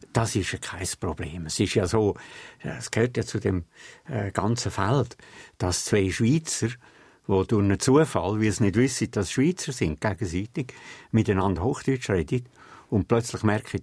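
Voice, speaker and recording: Older swiss guy, central swiss accent, gravelly and kinda husky, thinks out loud with lots of ähs. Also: lowish voice, dry recording. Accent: Central Swiss German. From Central Switzerland. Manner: thoughtful, emphatic, with hesitant fillers. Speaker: literary scholar, interview guest. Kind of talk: reflective interview talk.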